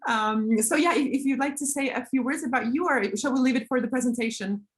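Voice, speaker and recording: A woman with a slightly high voice hosts an online conference session, chatty and loose, with plenty of fillers as she introduces the guest. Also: fairly quick. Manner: chatty, laughing, many fillers. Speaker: session moderator. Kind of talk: introducing a speaker.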